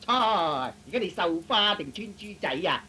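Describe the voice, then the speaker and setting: A man's voice: high voice, lively intonation, moderate pace, bright, forceful tone, pitched above the performer's own voice, full character voice. A man putting on a nagging shopkeeper's wife, faint noise. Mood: scolding, impatient. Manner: scolding, exasperated, naggy. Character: bossy, nagging, impatient female shopkeeper's wife. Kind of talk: Cantonese comic radio play acting. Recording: noisy old radio recording.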